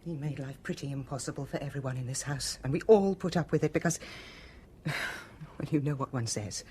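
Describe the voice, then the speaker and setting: An actress with a British accent playing a bitter, fatalistic wife in a radio drama, guarded and weary. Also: female voice, very deep voice, a little fast, mellow, breathy edge, heavily acted. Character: brittle, bitter, fatalistic wife of a cruel husband.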